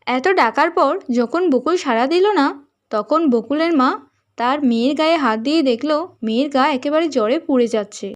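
A woman's voice: high-pitched, normal speed. A woman narrating a fairy tale as a scripted story.

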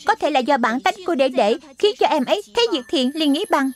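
A woman's voice: high-pitched, animated, fast. A woman voicing a small, childlike lion spirit in a children's cartoon dub, explaining things earnestly and patiently like a teacher. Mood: patient teaching. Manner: didactic, explanatory.

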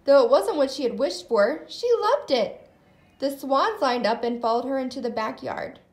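A woman reading a children's storybook aloud as narrator and host, in an animated style. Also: high-pitched, normal speed. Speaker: storybook reader, channel host.

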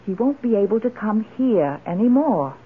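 Lively elderly woman in radio drama. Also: mid-range voice, moderate pace, breathy edge. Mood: earnest, resolute. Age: older. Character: elderly tipsy woman, wistful, resolute, fond of her friend. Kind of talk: 1970s radio drama acting. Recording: muffled old radio broadcast.

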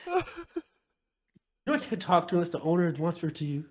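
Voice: medium pitch, big pitch swings, a little fast, darkish tone, natural voice. Player acting a nosy, teasing crewmate. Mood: coy, teasing. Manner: coy, needling, playful. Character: nosy, teasing spaceship crewmate, persistently prying.

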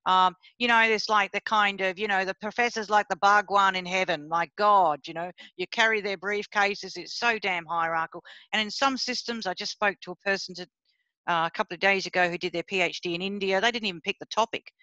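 A woman's voice: mid-range voice, fairly even intonation, brisk, pushed and bright, dry recording, lo-fi sound. A middle-aged woman professor from Sri Lanka, leading a seminar over a video call in a blunt, chatty way that speaks straight to her listeners. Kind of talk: informal academic talk on a video call.

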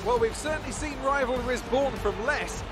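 A man playing a motor racing commentator, giving excited sports commentary. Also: very high voice, moderate pace, over loud music. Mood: animated. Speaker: race commentator.

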